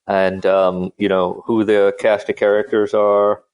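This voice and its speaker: A man's voice: lowish voice, flat delivery, unhurried, darkish tone, clean tone, muffled recording. A man from the Caribbean co-hosting a podcast, chatty and joking, often restarting his words. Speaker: co-host. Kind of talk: casual chat.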